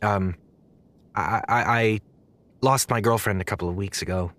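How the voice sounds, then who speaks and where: A man's voice: on the low side, moderate pace, fairly bright tone, dry recording, lightly acted. A man playing a naive young zookeeper in a comedic audio drama, nervous and hesitant, stammering awkwardly.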